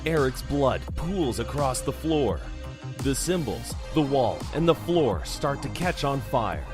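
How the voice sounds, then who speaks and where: Male narrator for scripted horror fiction: mid-pitched storytelling, clear music underneath. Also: sing-song, slow.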